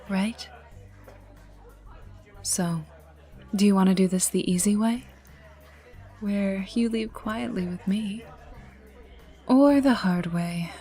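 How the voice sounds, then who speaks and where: A woman playing a menacing, teasing young enforcer for a crime boss in an ASMR roleplay, taunting the listener in a breathy voice that dips toward whispers. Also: medium pitch, mellow, lightly acted. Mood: quiet menace, then cocky threat. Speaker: voice actor in audio roleplay. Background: ambient music and mild sound effects.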